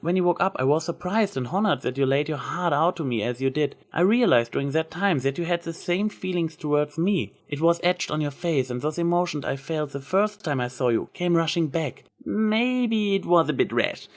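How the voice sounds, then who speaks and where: A man voicing a gentle, sincere and smitten female unicorn with a soft, earnest manner in a story reading. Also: fairly high pitch, expressive, normal speed, few pauses, voice pushed up, close to an everyday voice. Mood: heartfelt.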